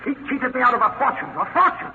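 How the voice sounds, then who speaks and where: An actor playing a gloating, vengeful mad scientist villain in a theatrical old-time radio thriller style. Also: male voice, very high voice, animated, fast, creaky, exaggerated voice. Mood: bitter. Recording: noisy, dull vintage radio recording.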